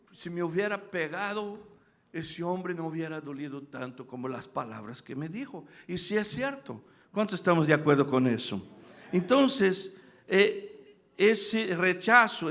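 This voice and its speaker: Un pastor de origen brasileño, con acento brasileño al hablar español, predica un sermón. Alterna relatos en voz baja con exhortaciones gritadas a la congregación. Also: male voice, a little high, creaky. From Brazil. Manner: swings from quiet storytelling to loud shouted preaching, calls out to the congregation. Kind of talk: sermon. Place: church sanctuary.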